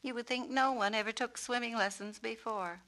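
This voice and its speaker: A woman narrating a children's storybook on a 1970s record, with expressive, deliberate phrasing. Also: fairly high pitch. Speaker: author and narrator.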